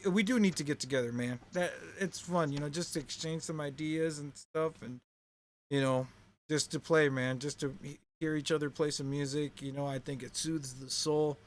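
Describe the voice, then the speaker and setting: A man hosting a relaxed chat about keyboard playing, chatty and full of "you know what I mean". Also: a little high, moderate pace, a little hiss or hum. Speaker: host, keyboard player. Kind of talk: casual chat about music.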